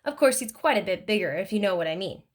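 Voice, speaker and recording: A woman's voice: medium pitch, expressive, fast, lightly acted. A woman reading aloud voices a kindly, teasing older male driver who talks in a folksy, joking way.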